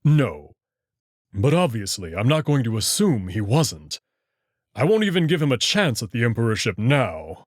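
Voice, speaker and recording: Give the part this: a man acting a proud beast prince from a sci-fi visual novel, protective and sure of himself. His pitch swings very widely while his phrasing stays measured and formal. The sound is close and dry. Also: very animated, no room sound. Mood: protective, serious. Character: Amicus, proud protective furry alien prince, an older brother.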